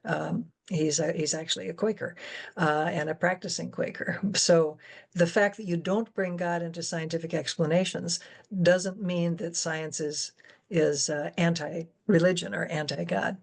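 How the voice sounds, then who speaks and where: A woman's voice: on the low side, steady tone, normal speed, vocal fry. A woman in a conversational explanatory talk, speaking articulately and emphatically as she lays out her points. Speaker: guest moderator and science education advocate.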